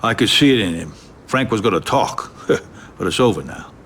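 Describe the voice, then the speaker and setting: An actor playing a cautious, measured mafia family advisor in a game cutscene. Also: male voice, on the low side, lively intonation, fairly bright tone, a little hiss or hum. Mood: relieved. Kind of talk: video game cutscene acting.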